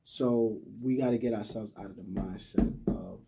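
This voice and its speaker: A man's voice: fairly low pitch, normal speed, vocal fry, dark tone, faint music behind. An American man in his twenties talking casually and emphatically in slang on a podcast, picked up slightly from a distance. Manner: talkative, emphatic, slang-heavy.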